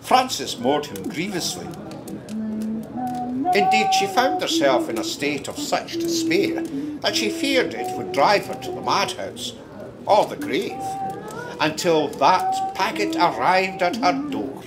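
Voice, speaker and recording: A man's voice: sits high, wildly swooping pitch, slow pace, loud music behind the voice, heavily acted. A man with a Scottish accent playing a convivial, folksy narrator telling tales by the fireside in a radio play. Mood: wry storytelling. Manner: leisurely, hospitable, folksy. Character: convivial Scottish fireside storyteller, fond of a dram. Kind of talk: storytelling in an audio drama.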